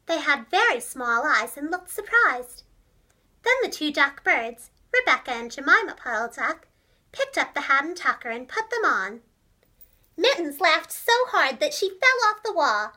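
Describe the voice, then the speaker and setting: A woman telling a children's storybook tale expressively and clearly, as if to young children. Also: high-pitched, very animated, a little slow, sharp tone. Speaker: storyteller, preschool teacher and voice actress. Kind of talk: animated children's storybook narration.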